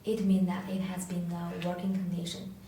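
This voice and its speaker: A woman who is a non-native English speaker, giving an unscripted product tutorial in a halting, hesitant way with searching pauses. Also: fairly low pitch, completely flat delivery, dark tone. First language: not English. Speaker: product demonstrator. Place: echoey indoor room, tabletop demonstration. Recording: echoey room, distant mic, faint noise.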